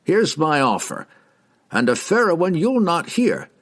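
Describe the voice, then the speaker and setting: A man voicing an excitable, fussy old wizard scholar. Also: a little high, a little slow, pitched above the performer's own voice. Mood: eager.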